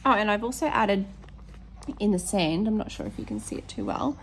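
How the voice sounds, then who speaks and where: Australian woman, casual hobby vlogger, a little hoarse, chatty and self-correcting, mid-pitched. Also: very animated, a little fast, slight background noise, low-quality recording. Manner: chatty, many ums, corrects herself. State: slightly hoarse, losing her voice. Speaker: teacher showing her colouring pages. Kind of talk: casual flip-through chat.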